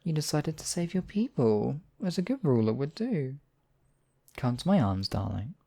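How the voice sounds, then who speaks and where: A man playing a demon king in an intimate ASMR roleplay, husky and theatrical, with deliberate phrasing and lingering pauses. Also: a little high, sing-song, mellow, full character voice. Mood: menacing, possessive. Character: demon king, menacing captor act turning tender, devoted lover.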